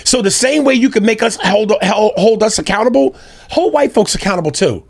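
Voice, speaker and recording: A man hosting a casual podcast, talking in an animated, profane and emphatic way. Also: high-pitched, brisk. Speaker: podcast host. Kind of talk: casual comedic chat.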